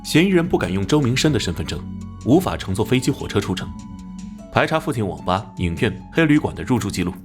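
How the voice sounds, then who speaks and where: Animated police captain: deep-set, firm, authoritative, expressive range, music underneath. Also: male voice, fast. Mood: brisk, commanding. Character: commanding, resolute male police captain. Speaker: police captain giving orders. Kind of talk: animated crime drama acting. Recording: music behind.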